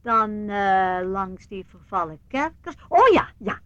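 An actress playing an unflappable older aunt in a radio play, chatty and brisk, reassuring the young visitors she shows around. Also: mid-range voice, expressive, a bit bright, dry recording, dull audio. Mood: fondly nostalgic. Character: aunt showing young visitors round her old estate, unflappable.

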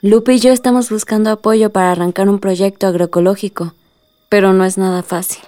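A woman's voice: mid-range voice, fairly even intonation, fairly quick, warm tone, natural voice. A woman playing an independent-minded, easily exasperated young woman in a radio drama, speaking naturally. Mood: earnest.

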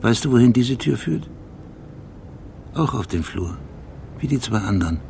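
An actor playing an obsessive, brooding middle-aged police detective with a gravelly voice, telling his memories deliberately in a radio play. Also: medium pitch, animated, a little mellow, slight background noise. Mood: explaining, obsessive. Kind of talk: radio play acting, intimate monologue.

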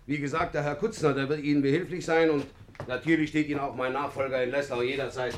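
An actor playing a hurried, businesslike wartime official in a 1950s German radio play, clipped and perfunctory. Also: male voice, mid-range voice, fairly even intonation, close to an everyday voice. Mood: brisk, formal. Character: hurried, brisk Nazi-era official handing over an estate. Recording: faint noise, 1950s radio recording.

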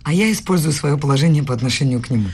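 Stubborn young woman in love, slightly husky. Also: low-pitched, lively intonation, very fast, a little mellow, exaggerated voice. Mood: defiant, firm. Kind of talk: radio play acting.

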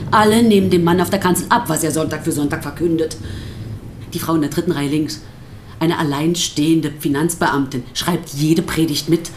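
A woman playing a bitter, disillusioned pastor's wife with measured, sardonic phrasing in a naturalistic radio drama. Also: low voice, darkish tone, a little hiss or hum, lightly acted.

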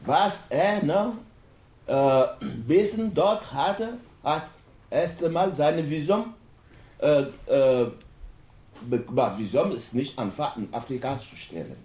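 A man speaks haltingly on a panel, often restarting mid-word in a language that is not his first. His voice is dark and mellow yet swings widely in pitch. The hall around him adds a roomy echo. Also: animated. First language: not German. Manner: halting, broken German with many restarts. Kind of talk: panel discussion talk. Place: university lecture hall.

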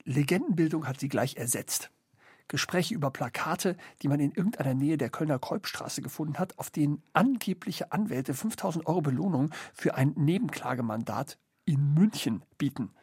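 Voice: mid-range voice, animated, moderate pace, a little mellow, breathy edge, no room sound, studio quality. An actor playing a sober, questioning observer in a literary radio play, phrasing with deliberation. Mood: grave, questioning. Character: sober, questioning observer reporting on social unrest.

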